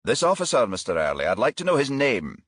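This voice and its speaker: A man voicing a formal, curt and demanding titled gentleman in a crime audiobook, with pointed questioning. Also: medium pitch, huge pitch swings, quick pace, husky, no echo, clean studio sound, pitched above the performer's own voice, exaggerated voice.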